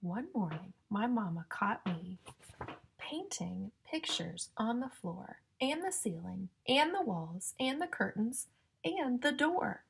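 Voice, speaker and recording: A woman reading a picture book aloud to young children in a sing-song, exaggerated way, pausing to ask and explain like a teacher. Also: on the high side, sing-song, gravelly, husky, rough recording. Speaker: children's story-time reader and teacher.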